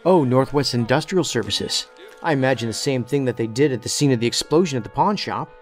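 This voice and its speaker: A man's voice: medium pitch, lively intonation, fairly quick, natural voice. A man plays a literal-minded, quirky investigator in a sci-fi radio play. His mid-range voice is precise and matter-of-fact. Faint music sits behind. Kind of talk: audio drama dialogue.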